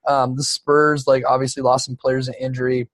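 A man in his middle range of pitch, talking basketball in a loose, rambling way and swearing freely. The sound is close and dry, with no room around it. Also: little pitch movement, normal speed, fairly bright tone, dry recording. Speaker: podcast co-host. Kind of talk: casual sports chat.

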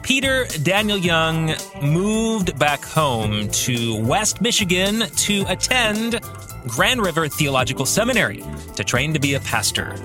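A man's voice: fairly high pitch, big pitch swings, a little slow, music in the background. A middle-aged American man hosting a scripted podcast in an upbeat, earnest way with emphatic phrasing, close on the microphone. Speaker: podcast host, author and former pastor.

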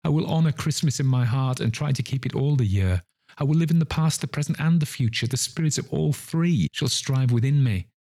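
A man voicing an excitable old miser, repentant and fervent, in theatrical exclamations from a classic storybook. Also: mid-range voice, fairly quick, dry recording, clean studio sound, pitched above the performer's own voice, natural voice. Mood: desperate pleading. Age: older.

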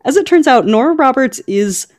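A woman's voice: high voice, expressive, normal speed, a little mellow. A woman co-hosting a podcast, chatting casually about book news. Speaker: podcast co-host.

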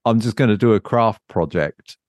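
Male co-host, deep register, very dry sound. Informal, conversational, responsive to a chat partner. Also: normal speed, darkish tone, no room sound. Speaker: podcast co-host. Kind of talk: casual chat, reacting and asking questions.

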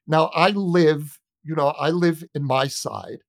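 A man's voice: fairly high pitch, slow pace, creaky, dry recording, studio quality. A man from the United States speaking as an interview guest, making an earnest conversational argument with emphatic, repeated phrasing. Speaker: interview guest, journalist and author.

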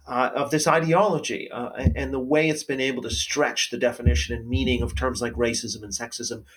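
A man's voice: medium pitch, fairly even intonation, brisk, low-quality recording. Articulate male host making arguments. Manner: articulate, argumentative, trailing off quietly. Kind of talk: casual conversation.

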